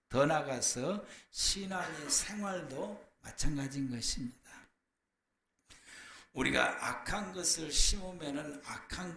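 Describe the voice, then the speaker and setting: A man preaching a church sermon as a pastor, hoarse from a cold, in a deliberate, explanatory way with pauses. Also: mid-range voice, fairly bright tone. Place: church, speaking to a congregation. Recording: echoey room with faint background noise.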